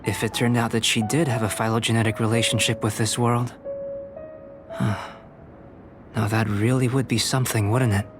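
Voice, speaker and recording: Calm cerebral young male researcher acted by a man, measured delivery, detached scholar, video game dialogue, music behind. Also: medium pitch, close to an everyday voice. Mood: thoughtful.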